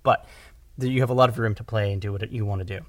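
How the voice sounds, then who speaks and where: A man's voice: lowish voice, steady tone, fast, no room sound. A man who directs audio drama, talking casually in a podcast conversation and often correcting himself as he goes.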